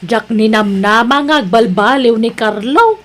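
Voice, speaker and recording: A concerned, disapproving wife acted by a woman in an Ilocano audio drama. Her voice sits fairly high, with an Ilocano Filipino accent. She speaks plaintively and with reproach. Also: a little slow.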